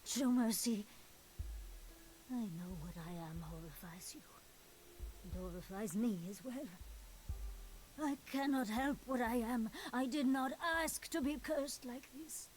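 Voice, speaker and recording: An actress playing a cursed female monster in a video game, seductive and pleading, with theatrical hissing whispers and drawn-out words. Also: fairly high pitch, a little mellow, lightly acted, rough recording. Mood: pleading, sorrowful.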